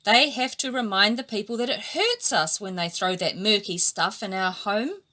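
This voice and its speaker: A woman voicing a quick, brave female eel who leads her friends, in a storybook reading. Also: medium pitch, bright, forceful tone, natural voice. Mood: urgent.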